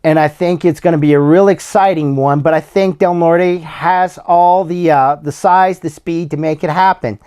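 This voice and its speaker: A man hosting a solo sports podcast, slightly hoarse and losing his voice, who gives bracket predictions in a casual, plain-spoken way with many fillers and restarts. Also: on the high side, steady tone, moderate pace, hardly any pauses, darkish tone. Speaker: podcast host.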